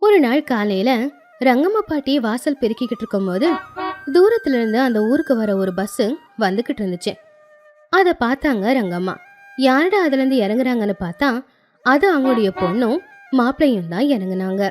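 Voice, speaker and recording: High-voiced woman storyteller over music. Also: sing-song, brisk, darkish tone. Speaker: storyteller narrator. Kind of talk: scripted moral-story narration.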